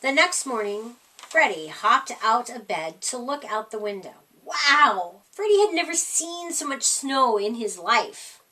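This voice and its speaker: A woman reading a children's picture book aloud as a storyteller, with animated, emphatic delivery. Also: a little high, measured pace, far from the mic, poor audio. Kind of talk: expressive read-aloud storytime narration.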